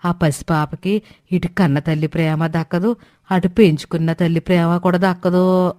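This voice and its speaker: A woman's voice: on the low side, fairly even intonation, moderate pace, mellow, dry recording, studio quality, natural voice. A woman acting a meddling, judgmental village gossip in a moral story. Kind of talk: acted moral-story dialogue.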